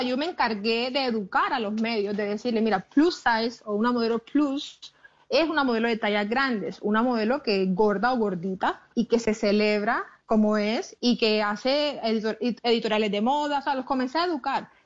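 Colombian coastal-accent woman guest, passionate and emphatic, higher voice, muffled lo-fi audio. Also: normal speed. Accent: Colombian Caribbean coast, mixed with English. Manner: passionate, emphatic, with frequent restarts. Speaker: plus-size model, guest. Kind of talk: interview conversation.

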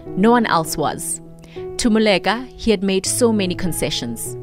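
A woman's voice: medium pitch, expressive, moderate pace, over music. A woman co-hosting a morning radio show, chatting casually and then reading a book passage aloud in a radio studio.

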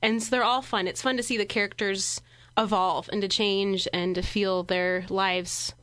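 A woman's voice: medium pitch, steady tone, normal speed, fairly bright tone, clear, resonant tone, dry recording. A woman speaking as an actor in a casual interview, upbeat and earnest, in a dry studio sound. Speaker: stage actor, interview guest.

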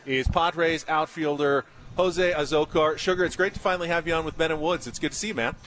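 A man's voice: on the high side, fairly even intonation, fairly quick, fairly bright tone. An American man hosting a live sports radio interview on location at a training camp.

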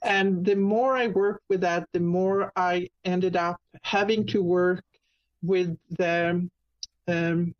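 A woman from Sweden with a Swedish accent, a guest telling her career story in a talk show interview, pausing often with ums and restarts. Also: fairly low pitch, fairly even intonation, muffled recording. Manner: halting, many ums and restarts. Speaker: guest, marketing business owner.

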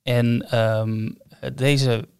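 A man's voice: mid-range voice, little pitch movement, very slow, clear, resonant tone, no room sound, clean studio sound. A man co-hosting a casual podcast, searching for his words as he explains. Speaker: podcast co-host.

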